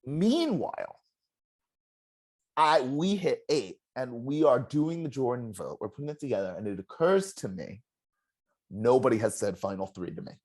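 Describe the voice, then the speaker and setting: A former game contestant in a casual exit interview, telling stories in an animated, self-deprecating way with a loud voice. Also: medium pitch, moderate pace. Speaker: eliminated contestant, juror.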